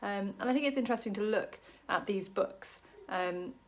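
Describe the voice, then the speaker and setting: A woman from Wales talks through a short Bible passage in a relaxed, chatty way. She fills her sentences with ums and drops in small jokes about herself. Her voice sits at an ordinary middle pitch. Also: moderate pace. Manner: chatty, many ums, self-deprecating jokes. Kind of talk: casual Bible study talk to camera.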